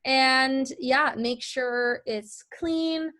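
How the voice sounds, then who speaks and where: A woman's voice: high voice, drawn-out words, pushed and bright. A woman teaching an informal online lesson, explaining and answering questions in a conversational way. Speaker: voice-over artist teaching a lesson.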